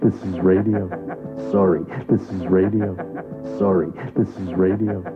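A man's voice: low-pitched, flat delivery, a little slow, music in the background. A man repeating a short radio announcement like a mechanical loop. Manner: repetitive, mechanical repetition. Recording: dull lo-fi radio tape.

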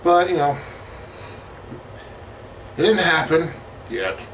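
Slow, halting middle-aged man, slightly distant mic. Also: on the high side, big pitch swings, a bit bright, a little hiss or hum. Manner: halting, pausing mid-sentence. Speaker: road-trip vlogger. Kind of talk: casual rambling video diary. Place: parked vehicle cabin, later a small motel room. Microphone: camera at about arm's length.

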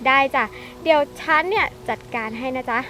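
A woman's voice: sits high, lively intonation, a little fast. A woman playing a persuasive young woman in a skit, with music behind. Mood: coaxing. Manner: coaxing, persuasive. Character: pushy young woman, smooth talker.

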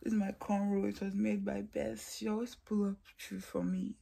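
A woman's voice: mid-range voice, flat delivery, moderate pace, gravelly, dark tone, breathy edge. A young woman vlogging on the move, talking straight to her viewers and filming herself with a phone at arm's length. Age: young adult. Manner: chatty, addresses viewers directly. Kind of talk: casual vlog chat. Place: on the move, streets, car, metro and mall. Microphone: phone held at arm's length.

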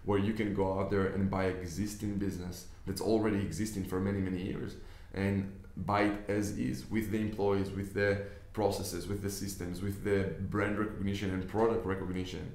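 A young Israeli man talks to camera from an office desk, his voice low and fairly level in tone. He explains his points earnestly and often restarts his sentences. The room gives his voice a clear echo. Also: flat delivery, moderate pace, few pauses, darkish tone. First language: Hebrew. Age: young adult. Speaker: business investor. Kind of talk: casual talk to camera. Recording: echoey room.

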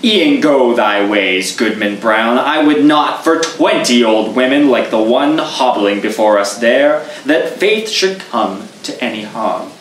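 A man's voice: medium pitch, lively intonation, slow pace, few pauses, reverberant, distant mic, slight background noise, low-quality recording. A man voicing a sly, composed old male devil disguised as a traveler.

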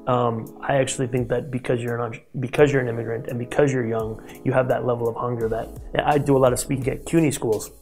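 A man in his thirties hosting an interview in an office, talking in an energetic, motivational way into a lapel mic. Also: medium pitch, quick pace, few pauses, soft background music. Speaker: host. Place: glass-walled open office, indoors.

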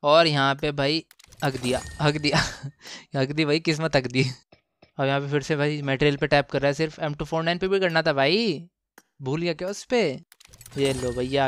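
A man giving casual, excited gameplay commentary, slangy and exclamatory, repeating phrases for emphasis. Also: on the high side, moderate pace, a little hiss or hum. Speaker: gaming channel host.

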